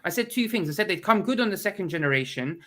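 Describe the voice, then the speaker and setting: Bright-voiced man with a stuffy cold. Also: high-pitched, fast. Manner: animated and opinionated, blunt. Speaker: guest. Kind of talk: casual chat.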